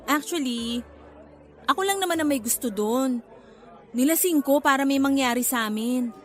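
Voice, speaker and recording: A woman's voice: high voice, normal speed, soft background music, close to an everyday voice. A woman acting a cheeky, manipulative young pregnant girlfriend in a Filipino radio drama, blunt and dramatic, with a Filipino accent. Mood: flippant, pushy.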